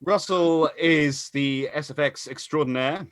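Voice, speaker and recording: Guy reading out a cast list online, slow, casual, bit hesitant, higher-ish voice, dry sound. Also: fairly bright tone, no echo. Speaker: actor introducing the cast. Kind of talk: informal online event chat.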